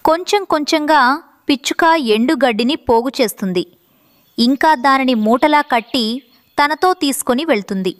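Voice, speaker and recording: High-pitched woman narrating a children's story. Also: lively intonation, normal speed, no room sound.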